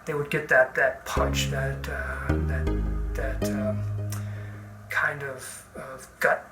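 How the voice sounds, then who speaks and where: A middle-aged man, an artist talking informally about his own work in a halting, reflective way, often repeating and rephrasing his words. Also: medium pitch, monotone. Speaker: visual artist. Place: indoor art studio. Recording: roomy echo, music underneath. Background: background music.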